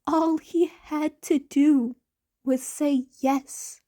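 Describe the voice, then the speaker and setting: A woman with a fairly high voice narrates a story. Her pace is very slow, and she reads the scripted fiction expressively, like an amateur audiobook. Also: steady tone, mellow.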